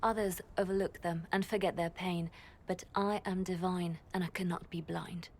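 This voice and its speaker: An actress voicing a solemn, compassionate religious leader in a fantasy video game, measured, formal and reflective. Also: female voice, fairly low pitch, fairly even intonation, slight background noise, close to an everyday voice. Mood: solemn, concerned. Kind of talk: video game cutscene acting.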